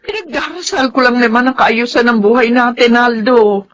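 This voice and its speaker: A woman's voice: a little high, normal speed, lightly acted. A woman playing a devout, long-suffering elderly grandmother and wife, patient and pleading, in a melodrama. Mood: meek, pleading. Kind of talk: Tagalog radio-style melodrama acting.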